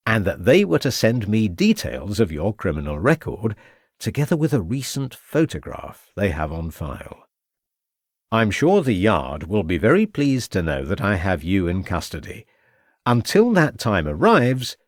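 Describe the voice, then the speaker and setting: A man voicing a shrewd male police inspector who questions in a measured, pointed way. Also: lowish voice, very animated, dry recording, clean studio sound, full character voice. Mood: stern.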